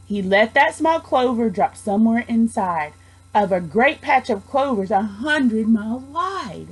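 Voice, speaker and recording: Slow, high-voiced woman reading a storybook. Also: expressive, slightly rough. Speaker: storybook reader, student teacher. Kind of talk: expressive children's storybook reading.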